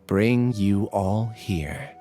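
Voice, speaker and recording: An actor playing a reserved, earnest young male researcher who speaks in a measured, formal way in a video game drama. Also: on the low side, mellow, soft background music. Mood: remorseful.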